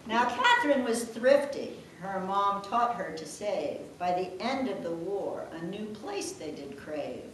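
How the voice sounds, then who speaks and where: An older woman reading rhymed verse aloud in a measured, deliberate way, with chatty asides. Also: mid-range voice, big pitch swings, fairly bright tone. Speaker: daughter reading her own birthday poem. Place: echoing room at a family gathering. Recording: very echoey room, distant mic, faint noise.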